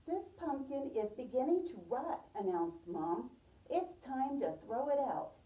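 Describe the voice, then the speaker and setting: A woman reads a picture book to small children in the manner of a preschool teacher, checking in with questions. Her voice is very soft-edged and dark, fairly high, and unhurried. It is picked up from a distance in a roomy space. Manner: addresses listeners as young children, repeats their answers. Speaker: preschool teacher reading aloud. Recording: roomy, distant microphone, muffled top end.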